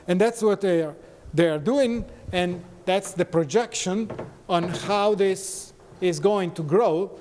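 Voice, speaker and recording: A man from Italy with an Italian accent, giving a conference talk in non-native phrasing with frequent pauses and fillers. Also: high-pitched, a little mellow, big-room sound. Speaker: conference speaker. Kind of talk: conference presentation. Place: conference hall.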